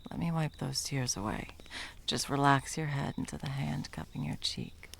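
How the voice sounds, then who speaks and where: An intense female monster hunter, acted by a woman for an ASMR roleplay. Her voice is gravelly and creaks at the edges. She speaks with deliberate, dramatic menace and open scorn. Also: deep voice, a little hiss or hum. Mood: hushed, softening.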